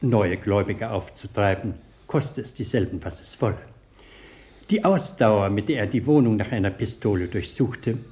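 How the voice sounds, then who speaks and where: A man playing a sardonic butler in a radio play, lower-voiced and unflappable. He delivers dark jokes with polished, dry formality. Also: close to an everyday voice. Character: deadpan, ironic butler. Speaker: George.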